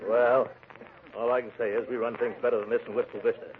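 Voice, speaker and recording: An actor playing a pompous middle-aged uncle who blusters and stammers in a 1940s radio comedy style. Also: male voice, mid-range voice, little pitch movement, quick pace, natural voice. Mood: boastful. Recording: muffled, noisy 1940s radio recording.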